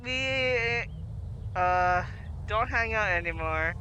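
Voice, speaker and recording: A woman's voice: medium pitch, animated, drawn-out words, highs cut off, a little hiss or hum. A woman streaming a video game, commenting casually and reading dialogue choices aloud. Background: loud game music.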